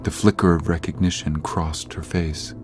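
Low-voiced male horror narrator. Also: level tone, a little mellow, faint music behind. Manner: measured, literary storytelling. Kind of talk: scripted horror audio drama narration.

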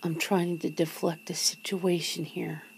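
A woman playing a wary, wry human confronting a vampire lover in an ASMR roleplay, breathy and often slipping into a whisper, hesitant and casual. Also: fairly low pitch, little pitch movement, measured pace, a little mellow. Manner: hesitant, sardonic, casual, sighing. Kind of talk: ASMR roleplay, half-whispered one-sided dialogue. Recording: noticeable background noise and ambience.

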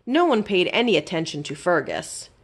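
A woman reading a children's story aloud as a library storyteller, in an expressive, simple storytelling manner. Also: on the low side, moderate pace, slightly rough.

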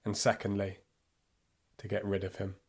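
An actor playing a fervent, bitter football supporter recalling the Nazi era in an audio play monologue, with deliberate pauses. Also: male voice, on the low side, monotone, darkish tone, slightly rough. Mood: grave, bitter. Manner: impassioned storytelling with deliberate pauses. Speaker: narrator. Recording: music behind the voice.

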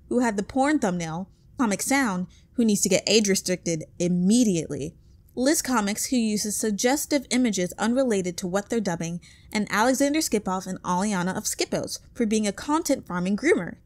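Female video essayist, mid-range voice, outspoken scripted narration over background music. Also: mid-range voice. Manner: outspoken, swears. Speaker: co-host of a channel.

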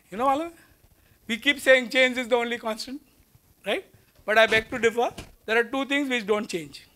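A man's voice: very high voice, pushed and bright. A man in his fifties with an Indian English accent, giving a conversational lecture to students in a large auditorium, asking them rhetorical questions and mixing in Hindi, recorded on a lapel mic. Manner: walks the stage gesturing while speaking. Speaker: guest lecturer.

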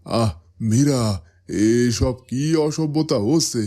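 A man's voice: medium pitch, slow, dark tone. A man playing a protective older father of grown daughters in a fairytale cartoon. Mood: scolding, reassuring. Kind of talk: Bengali fairytale cartoon dubbing.

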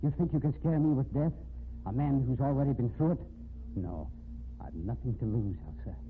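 An actor playing an obsessed, bitter American murderer in an intense, menacing 1950s radio drama style. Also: male voice, mid-range voice, moderate pace, lightly acted. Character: obsessed, bitter murderer threatening the woman he wants. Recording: noisy, tinny 1950s radio recording.

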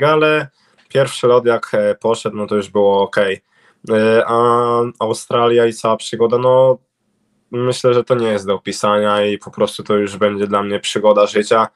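A man's voice: lowish voice, moderate pace, clean tone. A young man answering interview questions over a video call from a room at home, hesitant with many fillers and restarts. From Poland. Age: young adult. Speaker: interviewed speedway cycling rider. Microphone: video call webcam.

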